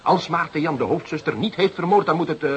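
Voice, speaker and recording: An actor playing an eager junior detective who lays out theories emphatically and a little grandly in a detective radio drama. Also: male voice, a little high, fast talker, fairly bright tone, close to an everyday voice. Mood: defensive, insistent.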